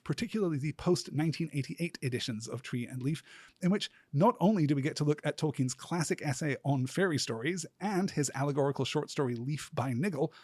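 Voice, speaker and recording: A man hosting a solo podcast in a scripted, articulate and erudite style, speaking with earnest enthusiasm and deliberate emphatic pauses. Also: on the high side, a little mellow, breathy edge, no echo, studio quality. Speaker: podcast host.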